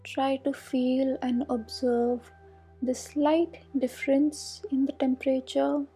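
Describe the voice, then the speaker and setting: A woman guides a meditation at an extremely slow pace, leaving long pauses between instructions. Her voice sits a little high yet stays mellow and relaxed. The delivery is calm and soothing throughout. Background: soft meditation music.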